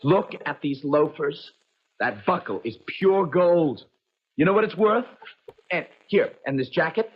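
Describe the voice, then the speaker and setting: An actor playing a breezy, scheming young American idler in a 1970s radio drama. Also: male voice, fairly high pitch, animated, unhurried. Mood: excited boasting. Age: young adult. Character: idle, spendthrift young playboy nephew, breezy and scheming. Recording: tinny band-limited old radio broadcast.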